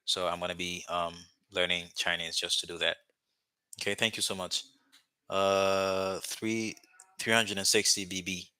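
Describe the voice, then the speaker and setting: A man from Haiti whose first language is Haitian Creole talks to his live-stream viewers in a loose, rambling way, full of ums and restarts. His voice sits low but sounds sharp and bright. The sound is close and dry, with no room echo. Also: level tone, unhurried, clear, resonant tone. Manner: rambling, many fillers and restarts, chatty with viewers. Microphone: webcam or phone mic, speaking to camera.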